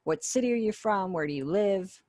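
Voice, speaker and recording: A woman's voice: mid-range voice, expressive, normal speed, dark tone, no room sound, clean studio sound. A woman giving an online sign language lesson over a webinar call, patiently explaining and acting out example phrases. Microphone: webinar video call.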